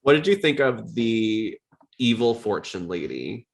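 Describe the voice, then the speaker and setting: Low-voiced man chatting casually on a podcast. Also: big pitch swings, unhurried, gravelly. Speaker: podcast host. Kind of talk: casual podcast chat about anime.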